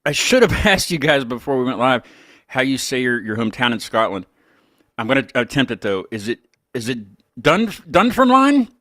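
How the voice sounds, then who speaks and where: A man with an American accent hosts a casual live interview. His pitch moves around in an animated way, and the sound is close and dry. He talks informally and tends to ramble. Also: normal speed, no room sound. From West Virginia, United States. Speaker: host of a live music interview show.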